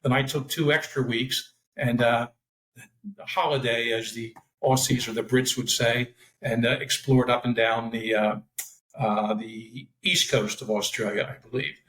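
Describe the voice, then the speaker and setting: A man co-hosting a podcast chats in a loose, rambling way, full of fillers. His pitch is mid-range. His voice carries a noticeable roomy echo. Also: steady tone, a little slow, a bit bright. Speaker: podcast co-host, former profiler. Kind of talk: casual podcast chat.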